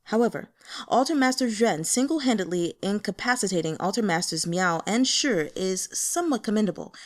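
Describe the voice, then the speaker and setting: A woman's voice: mid-range voice, lively intonation, fairly quick, close to an everyday voice. A woman voicing a stern, loyal male protector who speaks formally and decisively in a fantasy audiobook. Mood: stern judgment.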